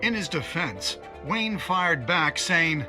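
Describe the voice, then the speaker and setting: An actor playing an opinionated TV news commentator, delivering scripted reports in a pointed, wry, dramatic manner. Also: male voice, on the high side, expressive, slow, music in the background. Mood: grave, pointed. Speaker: news presenter.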